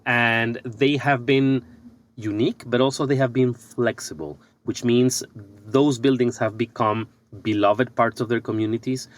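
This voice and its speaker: A middle-aged man from Ecuador, an architect and business coach, talking thoughtfully in a podcast interview, pausing and restarting his sentences often. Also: mid-range voice, steady tone.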